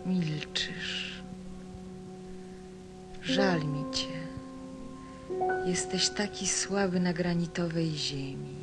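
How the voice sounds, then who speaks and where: A woman's voice: fairly low pitch, steady tone, extremely slow, pauses often. A woman playing a cryptic, powerful desert snake in a radio play, speaking enigmatically. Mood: ominous, pitying. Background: music.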